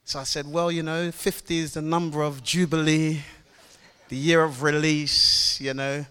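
A man in his fifties preaching a sermon as a guest pastor. He moves between loud, fervent worship calls and chatty storytelling with laughs. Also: fairly high pitch, level tone, moderate pace, smooth. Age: 50s.

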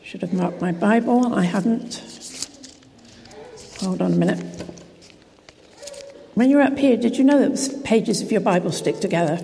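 A woman preacher with a croaky voice, giving a chatty, informal sermon into a PA microphone in a church hall, with self-deprecating asides. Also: mid-range voice, moderate pace, long pauses, warm tone. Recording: reverberant hall with faint noise.

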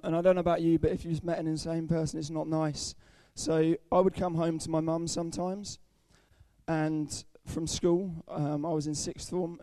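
A young man with a croaky, under-the-weather voice giving an earnest personal testimony at a church service, with frequent ums. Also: on the high side, warm tone, no room sound. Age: young adult. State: hoarse, croaky voice from illness. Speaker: student speaker at a church service.

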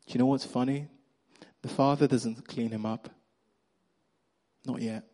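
A man with a Nigerian accent preaching a sermon, acting out the characters' lines and swinging between loud emphasis and quiet, hushed moments. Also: medium pitch, measured pace. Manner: animated storyteller, acts out Bible characters' lines.

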